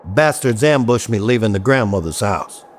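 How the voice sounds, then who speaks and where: A man acting as an overworked, short-tempered detective in an audio drama, in a low voice. He is blunt and sarcastic, with faint noise behind him. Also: animated, normal speed, lightly acted. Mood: defensive, firm. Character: gruff, irritable, overworked male detective.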